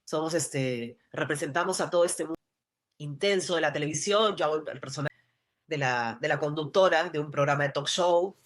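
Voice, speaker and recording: A woman from Lima with a Peruvian accent, an actress talking casually in an interview, full of restarts and fillers. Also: sits low. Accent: Lima Peruvian Spanish. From Peru. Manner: rambling, many restarts and fillers. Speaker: actress guest.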